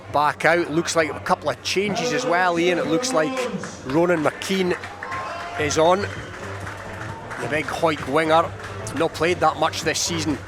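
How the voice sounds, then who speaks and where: Male Scottish match commentator, slightly high voice, measured and informal, music behind, outdoors. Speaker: rugby commentator. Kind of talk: live radio match commentary.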